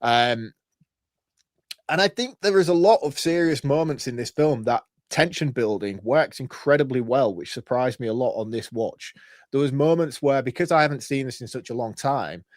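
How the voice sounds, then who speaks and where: A man co-hosting a film podcast, opinionated and teasing, swearing casually in relaxed chat. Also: medium pitch, moderate pace, no room sound, studio quality. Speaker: podcast co-host.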